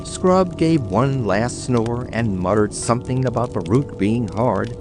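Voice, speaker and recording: Male narrator for a bedtime tale; mid-pitched, highly expressive, dramatic read. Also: unhurried. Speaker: storyteller. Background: music.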